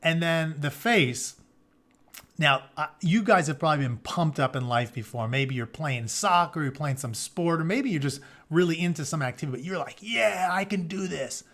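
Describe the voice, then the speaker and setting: A man with a somewhat high-pitched voice works as a teacher reading aloud. His storytelling is animated and dramatic, mixed with informal, colloquial explanations for his students. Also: moderate pace. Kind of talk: dramatic read-aloud with casual explanatory asides.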